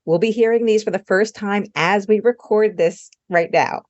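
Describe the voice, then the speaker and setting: A woman's voice: medium pitch, normal speed, a little mellow, dry recording, dull audio. A woman hosting a podcast, explaining the format in a scripted introduction. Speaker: podcast host.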